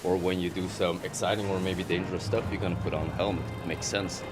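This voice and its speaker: A company founder with a very low voice speaks in an interview clip, stacking emphatic repeated phrases. Clearly audible music runs behind his words. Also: steady tone, fast.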